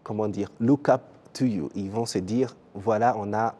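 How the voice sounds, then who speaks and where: A man hosting a TV interview show in a relaxed, casual way, with frequent fillers and easy laughter. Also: fairly low pitch, moderate pace, slightly rough. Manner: easygoing, laughs often, many fillers. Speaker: TV show host.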